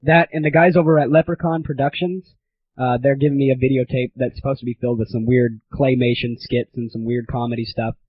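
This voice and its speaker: A man's voice: mid-range voice, steady tone, brisk, breathy edge. A teenage man hosting a casual comedy monologue on a gaming audio show, irreverent, crude and mock-grandiose, on a lo-fi recording. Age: teens. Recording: dull lo-fi 1990s internet audio.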